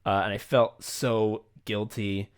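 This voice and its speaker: A man's voice: on the low side, level tone, takes it slowly, clear, resonant tone. A man co-hosting a casual behind-the-scenes chat, swearing freely and running himself down as he talks about directing. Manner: profane, self-deprecating. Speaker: podcast co-host and audio play director.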